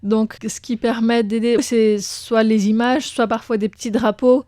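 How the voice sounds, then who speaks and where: A woman hosts a radio interview, her voice a little high. She asks her questions in a clear, measured way. The sound is dry and close, like a studio mic. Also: little pitch movement, warm tone, dry recording. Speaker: radio host.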